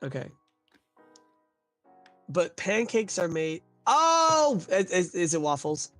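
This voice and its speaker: A man's voice: high-pitched, sing-song, slow pace, a bit bright, faint music behind. A man hosting a casual live-stream chat, upbeat and saying "like" a lot, just recovering from being sick. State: recovering from illness. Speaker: host.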